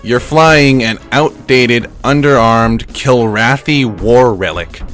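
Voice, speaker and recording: An actor playing a blunt, skeptical fighter pilot in an audio drama, talking casually. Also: male voice, medium pitch, slow pace, fairly bright tone. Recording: faint music behind.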